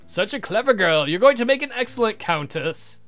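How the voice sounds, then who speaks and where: A man's voice: sits high, expressive, fairly quick, fairly bright tone, soft background music. A man voicing a courtly adult vampire count in a visual novel, earnest and doting, with formal, gallant speech. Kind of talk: voiced visual novel dialogue.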